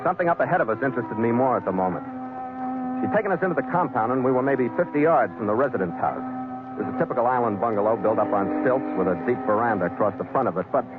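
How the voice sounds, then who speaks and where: A male actor plays a hard-boiled sea captain telling his own adventure, terse, wry and watchful. His voice has an ordinary male pitch. The old radio recording is narrow, with prominent music behind it. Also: close to an everyday voice. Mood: dry, wary. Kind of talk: 1940s radio drama narration and acting.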